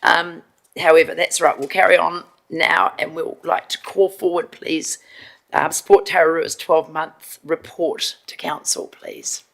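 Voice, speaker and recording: A New woman chairing a council committee meeting, hoarse and procedural, with many ums and repeated words. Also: sits low, steady tone, measured pace, fairly bright tone.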